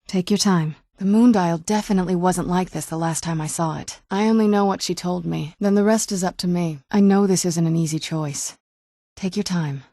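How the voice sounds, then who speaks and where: A woman's voice: lowish voice, normal speed, dark tone, no echo. A woman playing a guarded, wry young vampire noblewoman companion in a video game, speaking in a dry, sardonic, conversational way. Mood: earnest, guarded. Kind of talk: video game character dialogue.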